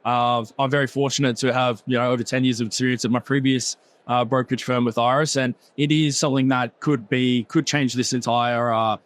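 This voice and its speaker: A man's voice: medium pitch, steady tone, a little fast. An Australian man talking business on a panel, hesitant, with many fillers and restarts. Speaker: trading executive. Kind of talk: panel conversation.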